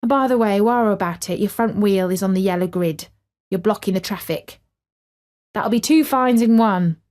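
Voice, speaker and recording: A woman's voice: mid-range voice, normal speed, darkish tone, natural voice. A woman voicing an officious, nitpicking policeman in a children's story, scolding with stiff politeness.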